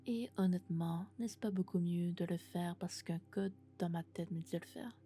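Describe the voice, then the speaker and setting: A woman playing a lovesick, possessive female robot in an ASMR roleplay, speaking in a hushed, breathy, intimate whisper. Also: lowish voice, normal speed, faint music behind, lightly acted. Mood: insistent, possessive.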